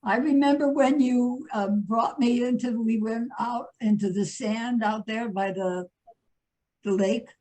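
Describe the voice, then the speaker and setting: A woman's voice: a little high, fairly even intonation, a little slow, warm tone, rough recording. A woman talking casually as she takes part in a spiritual discussion group.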